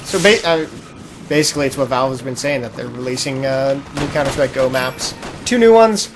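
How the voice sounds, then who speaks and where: A man's voice: medium pitch, brisk, background noise. A man co-hosting a casual podcast while playing a video game, often breaking off distractedly to react to what happens on screen. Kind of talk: casual chat over live gameplay. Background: video game sounds.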